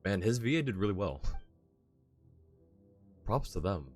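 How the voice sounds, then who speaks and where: A man's voice: fairly low pitch, sing-song, a little slow, darkish tone, soft background music. A young man chatting casually and self-deprecatingly while streaming a game. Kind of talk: casual live-stream chat.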